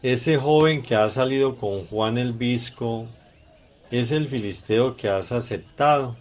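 A man playing a grave, deferential elder of the Sanhedrin in a religious radio drama, speaking in a measured, deliberate way. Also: medium pitch, lightly acted. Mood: grave, concerned.

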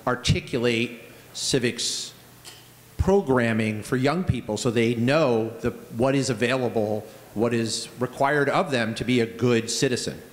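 A middle-aged man in the audience asking a question into a handheld mic, with a confident, loud speaking voice. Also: mid-range voice, unhurried. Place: large conference ballroom. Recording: long hall reverb.

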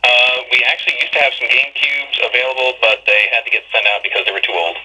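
A man's voice: medium pitch, fairly even intonation, fast talker, sharp tone. A man working as a store clerk, talking casually and patiently over the telephone, matter-of-fact. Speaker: video game store clerk. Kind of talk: casual phone conversation. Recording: harsh, raspy telephone line.

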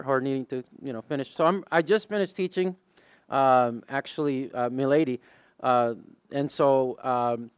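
Male, 40s, Texan accent, Spanish first language; rambling panelist, clear voice, dry close mic. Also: a little high, fairly bright tone, dry recording. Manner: rambling, many fillers and restarts. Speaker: poet and high school English teacher on a panel. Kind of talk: casual panel talk. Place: museum meeting room with a small audience. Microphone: handheld mic.

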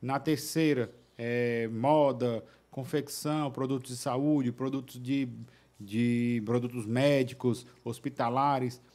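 A young man, a podcast guest, answering casually with many fillers and restarts, recorded on a boom-arm studio mic in a small studio. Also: steady tone, slow, a little mellow.

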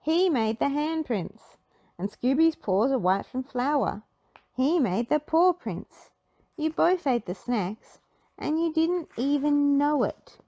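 A woman's voice: high-pitched, expressive, slow, mellow, dry recording, voice pushed up, exaggerated voice. A woman voicing a clever, matter-of-fact teenage girl detective who explains clues decisively in a children's storybook. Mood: confident.

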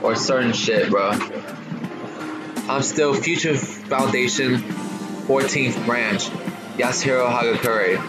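Stuttering man reading game text over loud music. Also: medium pitch, takes it slowly, long pauses, poor audio. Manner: reads text lines aloud, stumbling and restarting, slangy asides with "bro" and swearing; stutters on some words. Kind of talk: casual let's-play reading of game text.

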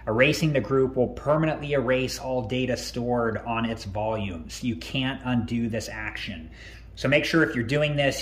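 A man hosting a tech tutorial, calmly talking through each step on screen with frequent ums and uhs. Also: medium pitch. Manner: relaxed, many ums and uhs.

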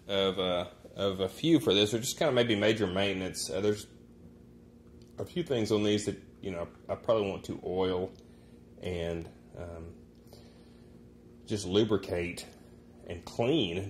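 A man's voice: fairly low pitch, slight background noise. A man giving a casual how-to narration in an informal, folksy way, thinking aloud as he goes, recorded in a room at home. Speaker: DIY demonstrator.

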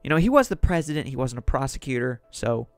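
A man with a sore, hoarse throat hosting a solo podcast, reading news articles aloud and rambling on about politics. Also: medium pitch, sing-song, fast, no echo, soft background music. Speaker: podcast host.

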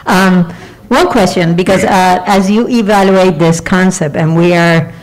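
Low-voiced woman with a Puerto Rican accent. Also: rough recording. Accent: Puerto Rican Spanish. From Puerto Rico. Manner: earnest, halting with fillers. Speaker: patient advocate.

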